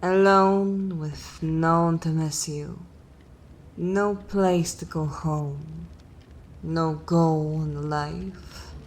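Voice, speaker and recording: A woman voicing a haughty, cruel, centuries-old vampire mistress in a dark fantasy ASMR roleplay, speaking in a formal, commanding and disdainful manner. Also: low voice, steady tone, a little hiss or hum. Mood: cold disdain. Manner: imperious, slow, disdainful, formal wording.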